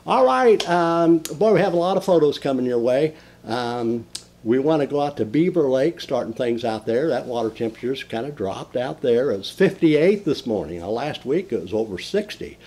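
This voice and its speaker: Older husky-voiced man hosting a fishing report. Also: medium pitch, animated, normal speed, a little mellow. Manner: enthusiastic, rambling with fillers and restarts. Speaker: fishing show host. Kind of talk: folksy regional fishing report. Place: indoor den with mounted fish on the wall.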